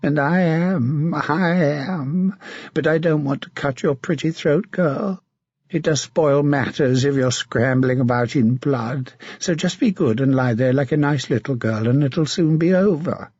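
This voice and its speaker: A man voicing a mad, leering middle-aged knight with a hoarse, wheedling voice. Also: on the high side, measured pace, a little mellow, dry recording, muffled recording. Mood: leering menace.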